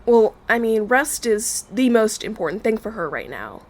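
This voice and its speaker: A woman's voice: fairly high pitch, big pitch swings, moderate pace. A woman playing a wary, questioning young woman in an audio drama, guarded and matter-of-fact. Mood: defensive, guarded. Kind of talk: naturalistic audio drama acting.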